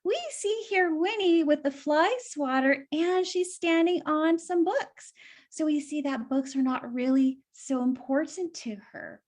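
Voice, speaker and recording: A woman with a high voice tells a children's story aloud. She stops to explain things in simple words and asks her young listeners questions. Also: measured pace, a little mellow.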